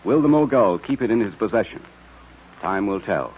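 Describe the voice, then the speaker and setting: A man announcing and pitching for a sponsor on 1940s radio in a hearty, emphatic way. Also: animated, moderate pace, slight background noise. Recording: muffled vintage radio recording.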